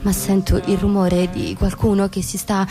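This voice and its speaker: A woman's voice: mid-range voice, monotone, normal speed, close to an everyday voice. An actress playing an anxious, self-conscious young woman, reliving a schoolgirl memory in an urgent, emphatic radio drama monologue. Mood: distressed. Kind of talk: dramatic first-person stage monologue for radio. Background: music.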